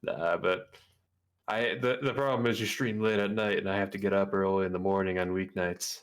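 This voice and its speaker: A man's voice: low-pitched, steady tone, normal speed. A man, a guest on a stream, chatting casually with dry, self-deprecating humor. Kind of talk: casual chat over voice call.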